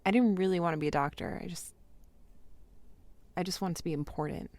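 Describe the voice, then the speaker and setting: An actress playing a resigned, insecure young woman who records a halting, sighing, reflective diary in an audio drama. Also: fairly low pitch, darkish tone, no room sound, lightly acted. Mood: resigned, vulnerable. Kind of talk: naturalistic audio drama monologue.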